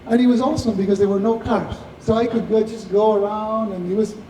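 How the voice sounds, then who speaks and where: Distant, high, mellow Argentinian man. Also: fairly even intonation, fast. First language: Spanish. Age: 50s. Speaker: tech founder, guest speaker. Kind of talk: live Q&A, anecdotal talk. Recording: distant echoey hall, faint noise.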